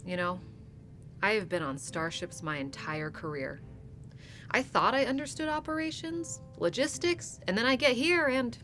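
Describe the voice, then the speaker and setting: An actress playing a warm but commanding female starship captain in a sci-fi audio drama, teasing and authoritative. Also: on the high side, normal speed, faint music behind, natural voice. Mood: sincere, concerned.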